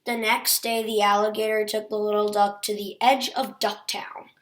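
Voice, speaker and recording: A woman's voice: medium pitch, level tone, moderate pace. A woman reading a children's picture book aloud as a storyteller.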